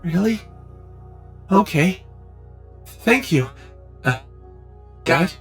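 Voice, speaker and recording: A man's voice: fairly high pitch, expressive, faint music behind. A man voicing an innocent, bewildered newborn cyclops with childlike, stammering speech in a fantasy ASMR roleplay. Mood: relieved, trusting. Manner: stammering, hesitant, simple halting speech.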